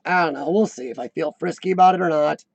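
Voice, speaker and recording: A man in his forties hosting a casual live chat, with a high-pitched squeaky voice worn by coughing, who often clears his throat and restarts his sentences. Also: quick pace, dry recording. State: voice worn from lung illness and coughing. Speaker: host of a live chat show. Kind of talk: casual live-stream chat reading viewer comments.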